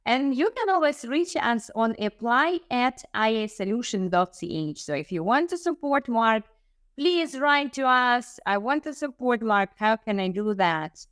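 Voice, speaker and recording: A woman's voice: on the high side, animated, a little slow, fairly bright tone, clear, resonant tone, no echo, studio quality. A woman co-hosting an online talk show, thanking the guest and signing off in an upbeat way. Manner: upbeat, welcoming sign-off. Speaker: co-host.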